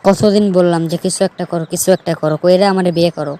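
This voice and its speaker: Woman playing a nagging, fast-talking young girlfriend. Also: on the low side, fairly even intonation, warm tone, a little hiss or hum, natural voice. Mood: nagging, impatient. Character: nagging, impatient young girlfriend in secret marriage. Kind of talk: comedy cartoon dialogue.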